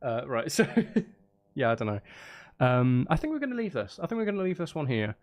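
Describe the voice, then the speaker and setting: A man's voice: medium pitch, big pitch swings, fairly quick. A man chatting casually on a live stream, rambling and thinking aloud in a hesitant way. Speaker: live streamer composing game music.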